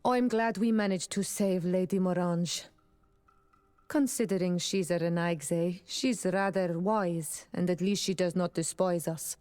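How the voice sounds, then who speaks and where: An actress playing an earnest, gentle young island tribeswoman in a video game, speaking in measured, formal phrases. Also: lowish voice, fairly even intonation, dry recording, close to an everyday voice. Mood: resolute. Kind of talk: video game romance dialogue acting.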